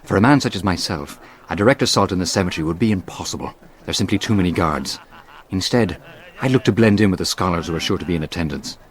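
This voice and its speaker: A man's voice: deep voice, expressive, fast talker. A man acting an anxious, self-doubting assassin in a video game, humble and pleading in formal phrases. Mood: grateful, cautious. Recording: background music under the voice.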